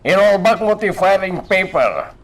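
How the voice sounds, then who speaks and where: A confident, moneyed don of Ilocano radio drama. His voice sits a touch high for a man and rises and falls with theatrical relish. He speaks in measured flourishes, standing slightly back from the mic. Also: expressive, a bit bright, far from the mic. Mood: confident, genial. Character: confident, wealthy don who enjoys pistol shooting. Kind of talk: Philippine radio drama acting.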